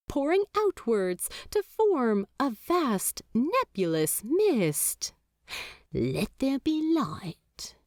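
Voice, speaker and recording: A woman narrating a fanfiction audiobook in a measured, expressive storytelling manner. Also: medium pitch, no echo, studio quality.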